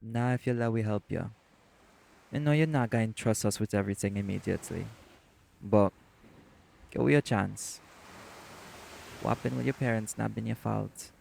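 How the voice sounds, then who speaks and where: Man playing creaky-voiced Caribbean shifter boyfriend. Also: fairly low pitch, normal speed, long pauses, a little mellow, a little hiss or hum. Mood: earnest, encouraging. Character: teasing, protective, encouraging male shapeshifter boyfriend. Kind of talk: romantic audio roleplay acting.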